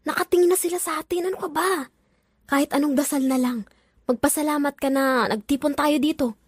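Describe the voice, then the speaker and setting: A woman's voice: high voice, fairly quick, no echo, studio quality, natural voice. A woman acting a bossy, teasing young female friend in an audio drama, chatty and pushy. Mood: urging, impatient.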